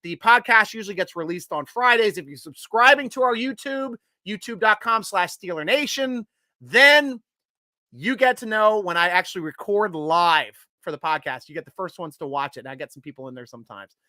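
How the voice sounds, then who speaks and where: A man hosting a live sports show, reading out viewer comments in a loose, joking way, with loud shout-outs and low mutters. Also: lively intonation, normal speed, no echo. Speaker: host.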